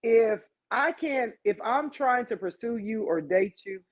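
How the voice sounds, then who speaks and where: A woman's voice: on the high side, a little slow, highs cut off. A woman hosting a casual podcast, talking frankly and animatedly about relationships. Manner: animated, frank, reads listener comments. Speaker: podcast host.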